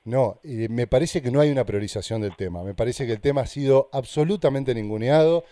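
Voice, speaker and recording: An Argentine male journalist keeps pressing an official, repeating his pointed questions without letting up. His voice is mellow and low in tone. The sound is dry and close. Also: fairly quick, dry recording. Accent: Argentine Spanish. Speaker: radio journalist. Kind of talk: confrontational interview.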